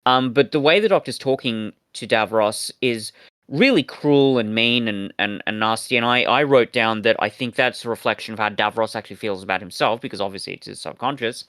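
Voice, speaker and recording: A man speaks as a podcast co-host in a very dry, close recording. His review talk is casual and reflective, with halting phrasing as he forms each thought. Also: lowish voice, fairly bright tone, no echo.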